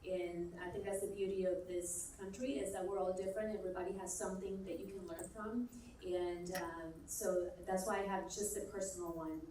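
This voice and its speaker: A woman's voice: on the low side, flat delivery, fairly quick, dark tone, a little hiss or hum. A woman from Colombia answering as a panelist in a forum discussion, talking thoughtfully with hesitant restarts. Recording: echoey hall, mic far off.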